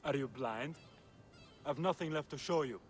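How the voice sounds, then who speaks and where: A man's voice: fairly high pitch, normal speed, natural voice. A man playing a sly, haggling merchant in a video game, with a theatrical, teasing, wheedling delivery. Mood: sly bargaining. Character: sly, haggling merchant trading wares and secrets. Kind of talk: video game cutscene acting.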